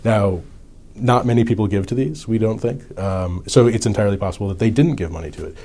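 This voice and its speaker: Male researcher, deep mellow voice, conversational interview explanation. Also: fast talker. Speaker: campaign finance researcher interviewed.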